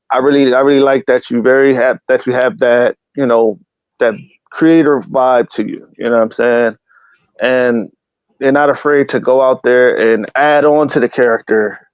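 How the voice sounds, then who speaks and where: A man's voice: mid-range voice, fairly even intonation, moderate pace, clear, resonant tone, no echo, lo-fi sound. Slangy rambling man host, dry sound. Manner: slangy and rambling, keeps saying "you know what I'm saying"; swears freely and jokes around. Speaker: host of a live talk show. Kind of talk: casual streamed chat.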